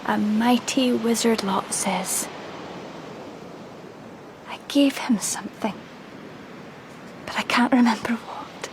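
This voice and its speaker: A woman's voice: on the high side, takes it slowly, pauses often. An actress playing a dazed, despairing young mother in a radio drama, vague and dreamy, often slipping into whispers. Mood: dazed, distant. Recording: noisy ambience behind the voice.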